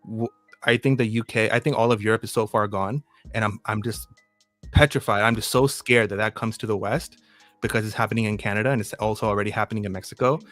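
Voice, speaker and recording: A man's voice: lowish voice, fairly even intonation, fast, a bit bright, dry recording, soft background music. A man co-hosting a casual live stream chat, talking in an earnest, emphatic way with some swearing. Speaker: co-host.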